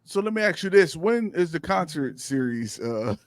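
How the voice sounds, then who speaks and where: A man co-hosting a podcast in casual chat, excitable and slangy, quick to laugh. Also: a little high, no echo. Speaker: podcast co-host.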